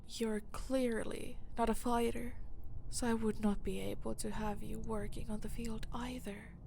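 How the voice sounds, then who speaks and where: A woman playing a menacing, dominant mafia boss in an audio roleplay, speaking slowly and breathily in a condescending, teasing way. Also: on the high side, flat delivery, warm tone, slight background noise. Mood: mocking, condescending. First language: Finnish. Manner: slow, deliberate, condescending, breathy near-whisper. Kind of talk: intimate dramatic audio roleplay.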